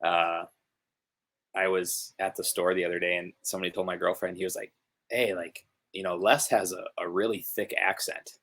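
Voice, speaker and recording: A young man with a Northern US accent, a hockey player chatting casually on a video call, relaxed and rambling with many fillers. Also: normal speed. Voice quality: gravelly low voice. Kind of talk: casual interview chat. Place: home room, video call.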